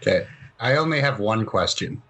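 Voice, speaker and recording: A man's voice: fairly low pitch, lively intonation, normal speed, a bit bright. A man acting as game master, casually laying out rulings and questions in a matter-of-fact way.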